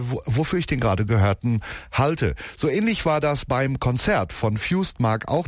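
A man's voice: mid-range voice, no room sound. Dry-sounding male radio host. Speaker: radio music show host. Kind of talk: unscripted music radio presenting. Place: radio studio. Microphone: close studio radio microphone.

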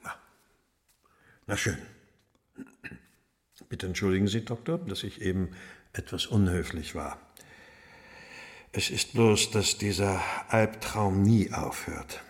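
Low-voiced, strained Victorian gentleman. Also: slow pace, pauses often. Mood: weary, apologetic. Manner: stiff, curt, formal. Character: strained, haughty Victorian gentleman, master of the house. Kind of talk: German radio crime drama acting.

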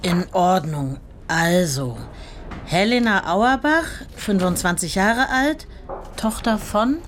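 A woman playing a brisk, procedural office clerk who interrupts and repeats details back in an official way. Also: mid-range voice, animated. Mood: businesslike. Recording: faint ambient background noise.

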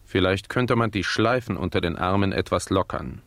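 A man's voice: deep voice, moderate pace, creaky, fairly bright tone, dry recording. A male actor playing an unflappable, formally polite millionaire in a German radio play. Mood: polite, composed. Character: courteous, unflappable male millionaire on a sinking ship.

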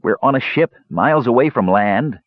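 A man voicing a weary young mage in an audiobook, speaking in a measured, reasoning way. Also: mid-range voice, animated, dry recording, lo-fi sound, pitched above the performer's own voice, lightly acted. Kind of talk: audiobook character voice.

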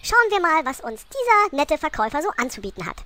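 A woman's voice: high-pitched, big pitch swings, fast, sharp tone, a little hiss or hum, exaggerated voice. A woman playing a bossy, scheming little gnome queen in a comic audio play, sassy and exasperated.

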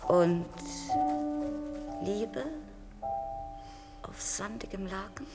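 An actress playing a wistful woman who recalls her past in a reflective, halting radio drama monologue. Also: medium pitch. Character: wistful woman recalling family summers and deportation. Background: music.

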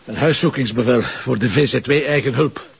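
A stubborn Flemish police commissioner with a very husky, gravelly voice. He is cocky and teasing in crime drama dialogue, heard through a dull, lo-fi recording. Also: medium pitch, a little fast. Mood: businesslike, pressing. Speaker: actor playing a police commissioner. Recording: muffled lo-fi audio.

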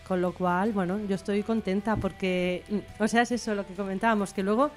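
A woman's voice: mid-range voice, fairly even intonation, brisk, a little mellow, faint music behind. A middle-aged bilingual Catalan and Spanish woman with a Barcelona accent, explaining her writing chattily as an interview guest. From Barcelona, Spain. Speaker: guest writer. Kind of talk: informal interview conversation.

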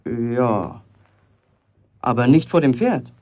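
A man's voice: mid-range voice, animated, slow pace, darkish tone. A young man playing a proud, wary teenage apprentice in a German radio drama, terse and dry. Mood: earnest.

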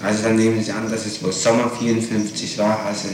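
A man questioning a witness as prosecutor in a formal interrogation, halting, methodical and insistent. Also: fairly low pitch, flat delivery. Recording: echoey, distant, noisy 1960s archive tape.

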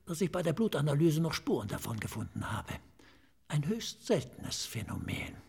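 A slightly eccentric forensic pathologist in a radio drama. His voice is gravelly and rough, set a little high for a man. He explains clinical matters in measured phrases, confiding and relishing each detail. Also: full character voice. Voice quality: raspy, creaky. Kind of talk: German radio drama acting.

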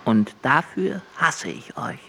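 Casting: brooding deity for absurdist musical radio play, gravelly, extremely slow, torn between love and hate. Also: male voice, medium pitch, animated, fairly bright tone, slight background noise, exaggerated voice. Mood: mournful, bitter. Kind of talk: theatrical musical monologue in an absurdist audio drama.

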